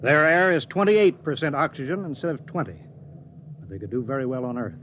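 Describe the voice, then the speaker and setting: An actor playing a stern, weary, resolute starship commander in a 1950s radio drama, authoritative and deliberate. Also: male voice, mid-range voice, a bit bright, highs cut off, slight background noise, natural voice. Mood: weary, firm.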